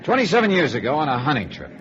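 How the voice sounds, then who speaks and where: An actor playing a hard-boiled, dry, probing American insurance investigator in 1950s radio noir, clipped and wry. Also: male voice, on the high side, big pitch swings, very fast, fairly bright tone, a little hiss or hum. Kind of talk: 1950s radio noir acting with first-person narration. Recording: dull vintage radio recording with music.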